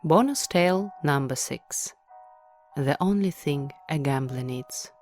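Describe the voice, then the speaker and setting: A woman hosting a podcast, reading a scripted explanation in a measured way. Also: deep voice, animated, darkish tone, soft background music. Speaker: podcast host.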